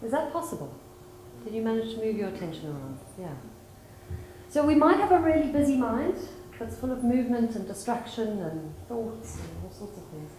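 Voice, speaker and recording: A South African psychologist speaking to a conference audience and calmly guiding them through mindfulness exercises. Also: female voice, on the high side, slight background noise. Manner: calm and guiding, slowing for instructions. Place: large conference hall. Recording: roomy hall with faint ambience.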